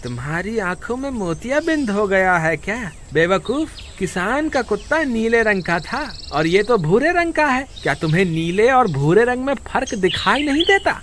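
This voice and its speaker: A man's voice: high-pitched, very animated, normal speed, few pauses, fairly bright tone, a little hiss or hum. A man voicing a panicky, scolding village sidekick in a moral-story cartoon, whiny, exclaiming and pleading. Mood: scornful, bossy.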